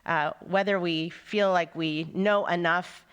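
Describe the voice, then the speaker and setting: Rabbi hosting a stage interview, smooth resonant voice, halting questions. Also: mid-range voice, fairly even intonation, fairly bright tone. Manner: searching, halting with fillers. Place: synagogue hall, live audience.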